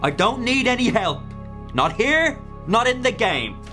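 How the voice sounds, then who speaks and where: Troubled, defensive young man, lively pitch. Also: unhurried, full character voice. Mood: edgy, paranoid. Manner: terse, edgy, defensive. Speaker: actor. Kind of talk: audio drama acting. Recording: clear music under the voice.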